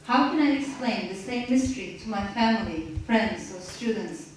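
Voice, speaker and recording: A woman reads aloud and then talks in a measured, reflective way, pausing now and then to restart a thought. She speaks at a middle pitch. She is caught from a distance in a very echoey lecture room with a little background noise. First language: Turkish. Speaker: author at a reading. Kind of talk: reading aloud then answering questions.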